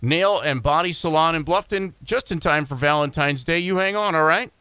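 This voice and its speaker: A man's voice: fairly high pitch, moderate pace, pushed and bright, clean tone, no room sound. A man hosting a morning radio show with upbeat, playful banter into a close studio microphone. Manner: playful, joking.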